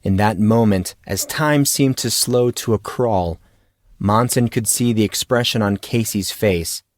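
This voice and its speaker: A man reading a fantasy audiobook in a measured, dramatic storytelling manner. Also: fairly low pitch. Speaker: audiobook narrator. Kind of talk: scripted fantasy story narration.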